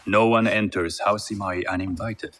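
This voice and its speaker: An actor playing a shrewd, composed merchant heir in a game set in feudal Japan, speaking in a measured, formal way. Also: male voice, on the low side, steady tone, a bit bright, a little hiss or hum, lightly acted. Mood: guarded, appraising. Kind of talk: video game cutscene acting.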